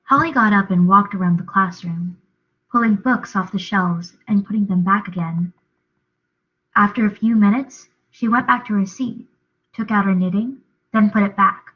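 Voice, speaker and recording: A woman's voice: medium pitch, normal speed. A young adult woman giving a dramatic reading of a novel as narrator. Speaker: reader, drama student. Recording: dull lo-fi recording.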